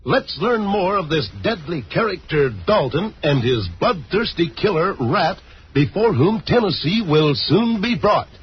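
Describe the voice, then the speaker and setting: A muffled old radio recording carries a male announcer in 1940s style. His high voice swoops emphatically and theatrically, yet stays smooth-edged in its sales and serial patter. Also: lively intonation, unhurried.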